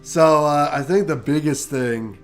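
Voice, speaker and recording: A man's voice: on the high side, unhurried, soft background music. A man co-hosting a casual gaming chat, nasal from a cold, joking and rambling. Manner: laid-back, joking, rambling. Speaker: podcast co-host.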